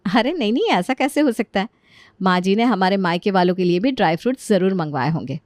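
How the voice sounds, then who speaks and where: A woman's voice: mid-range voice, expressive, fast, darkish tone, no room sound, clean studio sound, natural voice. A woman playing a naive, trusting young daughter-in-law in a story, mild and doubting in manner. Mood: placating.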